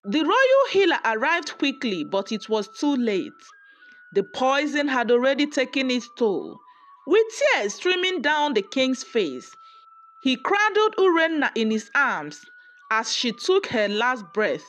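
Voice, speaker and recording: High-pitched, lively woman storyteller over music. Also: measured pace. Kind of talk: scripted folktale narration. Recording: music under the voice. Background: background music.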